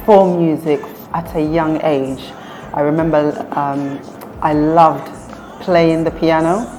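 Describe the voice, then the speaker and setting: A woman from Uganda who grew up in the UK, a singer and pianist, introducing herself in a soft, hesitant way with many ums. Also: low voice. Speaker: singer and pianist, one half of a duo. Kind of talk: relaxed interview talk.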